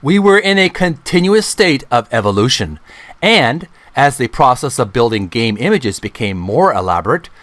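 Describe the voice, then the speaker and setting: A middle-aged man hosting a channel, reading a book aloud in a deliberate, halting way, phrase by phrase. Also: medium pitch, big pitch swings, fairly bright tone, no echo. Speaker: video channel host.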